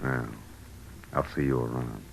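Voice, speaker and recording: A man's voice: a bass voice, level tone, a little slow, gravelly, slight background noise, exaggerated voice. An American actor playing a tough, sardonic, world-weary private detective in a vintage radio drama, wry and clipped in his slangy delivery. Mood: wry, casual. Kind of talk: 1940s-50s radio detective drama, first-person narration. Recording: vintage radio recording with music behind.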